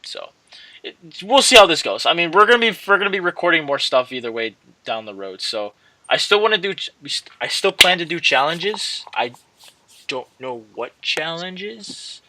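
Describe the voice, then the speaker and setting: A man's voice: a little high, sing-song, moderate pace, bright, forceful tone, no room sound, rough recording. A young man hosting a gaming channel, chatting casually in a rambling, hesitant way that often repeats and trails off. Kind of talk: casual rambling video outro.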